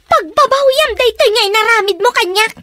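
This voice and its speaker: A woman's voice: extremely high pitch, fairly quick, heavy background noise. A woman with a Filipino accent playing a spoiled, bratty student daughter in a radio drama. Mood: spiteful. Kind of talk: Ilocano radio drama acting.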